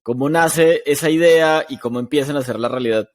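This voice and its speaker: A man's voice: fairly high pitch, brisk, dry recording, studio quality. A man hosting a podcast, introducing his guest and asking questions in an upbeat, encouraging way. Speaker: podcast host.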